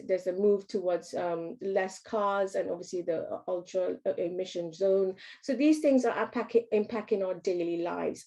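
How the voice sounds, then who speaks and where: A woman's voice: mid-range voice, warm tone. A woman from Trinidad and Tobago, giving a business workshop talk, hesitant with frequent ums and restarts.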